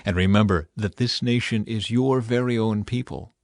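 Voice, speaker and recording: A man's voice: on the low side, moderate pace, dry recording, lightly acted. Guy reading as an earnest prophet leader, solemn and pleading, audio bible, really dry sound.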